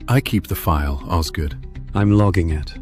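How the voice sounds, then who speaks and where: A man's voice: deep voice, normal speed, a little mellow, faint music behind, lightly acted. A man playing a dry, stubbornly procedural record-keeper in an audio drama, detached in manner. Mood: dryly defensive. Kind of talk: scripted audio drama acting.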